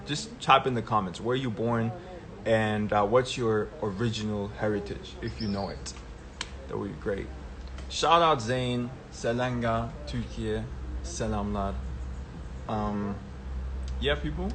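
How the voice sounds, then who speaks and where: A young man chatting easily on a live stream at a middling pitch. He keeps tossing in little "right?" and "yeah?" check-ins. Steady hiss and ambient noise sit clearly under his voice. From Germany. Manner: relaxed, tags sentences with "right?" and "yeah?". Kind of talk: casual livestream chat. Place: outdoors at a travel spot. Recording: noisy background ambience.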